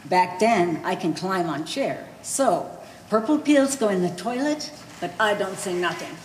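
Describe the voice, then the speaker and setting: A woman's voice: medium pitch, lively intonation, a little slow, a little mellow, lightly acted. A woman playing a blunt old mother who speaks broken English with dropped articles in a live one-act stage play. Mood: wry reminiscing. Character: blunt old immigrant mother of a grown son. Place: art gallery stage reading. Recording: roomy echoing hall, faint noise.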